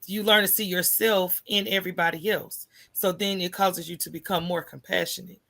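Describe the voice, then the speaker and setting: A woman's voice: lowish voice, normal speed, creaky. An American woman talking candidly as a podcast guest, acting out remembered dialogue and checking in with her listener as she goes. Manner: animated, acts out remembered dialogue, often asks "right?". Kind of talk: candid conversational storytelling.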